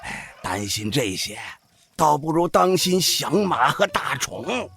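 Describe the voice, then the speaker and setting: An actor playing an old village opera-troupe boss, folksy, worldly and cautious, with a raspy, sighing, drawling delivery in an audio drama. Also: male voice, a little high, big pitch swings, slow, fairly bright tone, exaggerated voice. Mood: matter-of-fact. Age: older. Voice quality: raspy, creaky.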